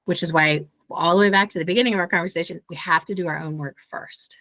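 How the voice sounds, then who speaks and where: A woman author being interviewed, explaining ideas earnestly with lively asides. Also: on the low side, fast, dry recording. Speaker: author and guest. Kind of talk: conversational interview.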